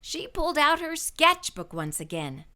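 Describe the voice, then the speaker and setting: A woman narrates a children's picture book in a high, bright voice. Her delivery is expressive, with lively rises and falls suited to young listeners. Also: a little slow.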